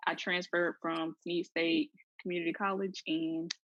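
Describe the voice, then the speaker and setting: A woman's voice: lowish voice, flat delivery, measured pace, a bit bright, slight background noise. A young woman in her twenties, a college player, introducing herself in a shy way. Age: 20s. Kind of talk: casual self-introduction. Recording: dry, muffled audio.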